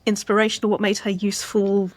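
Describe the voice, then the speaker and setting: A woman's voice: mid-range voice, little pitch movement, fast, no echo. A woman hosting a conversational interview, putting thoughtful questions in a careful, feeling-her-way manner. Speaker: interviewer, host.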